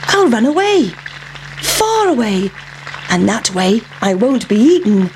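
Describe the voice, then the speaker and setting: An excitable little storybook pancake, played by a woman, pitched high and full of defiance. The delivery is emphatic and boastful, with lively swoops, over faint background noise. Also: unhurried. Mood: determined. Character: plucky, defiant runaway pancake, excitable and alarmed. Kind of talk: children's storybook character voice.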